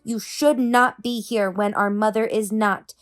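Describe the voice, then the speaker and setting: A woman's voice: mid-range voice, steady tone, measured pace, natural voice. A woman voicing an indignant, panicky little pet fish in a children's storybook, protesting emphatically. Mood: angry scolding. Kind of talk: children's storybook read-aloud.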